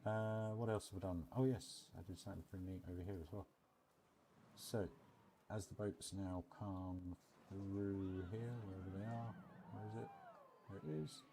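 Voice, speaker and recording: A man's voice: low voice, steady tone, moderate pace, mellow, a little hiss or hum. A man giving a casual game walkthrough commentary, rambling and thinking aloud as he goes. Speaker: video creator showing his game park build.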